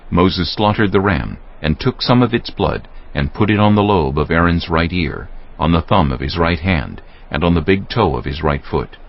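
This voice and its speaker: Very deep-voiced male scripture narrator. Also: lo-fi sound. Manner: measured, formal.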